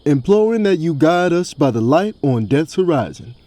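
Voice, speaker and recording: A man's voice: a little high, very animated, moderate pace, warm tone, no echo. A man playing an earnest, humble priest in a tabletop fantasy game. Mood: solemn prayer. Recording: faint music under the voice.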